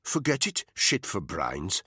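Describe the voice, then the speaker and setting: A man's voice: lowish voice, big pitch swings, measured pace, fairly bright tone, dry recording, clean studio sound, pitched above the performer's own voice, full character voice. A man voicing Tony, a sneering, bullying male unit commander who talks crudely. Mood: mocking.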